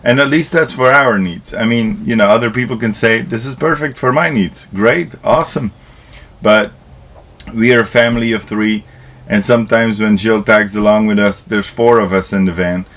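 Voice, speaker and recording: A man's voice: lowish voice, moderate pace, a bit bright. A man from Belgium in his forties, vlogging casually to a handheld camera in an upbeat, chatty way with fillers and restarts. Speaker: travel vlogger. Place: home kitchen and inside a camper van. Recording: music clearly under voice. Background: background music.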